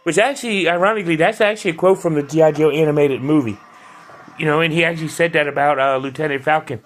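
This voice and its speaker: Man, casual video review, chatty, restarts often, somewhat high-pitched. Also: fast. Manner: chatty, loose, with frequent restarts and fillers. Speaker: toy reviewer.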